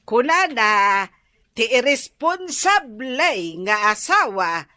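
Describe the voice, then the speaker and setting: A woman's voice: a little high, very animated, takes it slowly, sharp tone, no room sound, clean studio sound, exaggerated voice. A woman playing a mocking older female shopkeeper in a comedy radio drama, teasing and preachy. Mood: admonishing.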